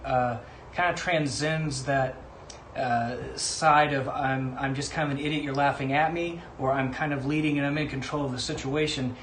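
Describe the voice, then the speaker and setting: A man's voice: mid-range voice, fairly bright tone. Man talking casually in an echoey room. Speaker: guest contributor. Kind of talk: casual spoken testimonial. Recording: echoey room with faint noise.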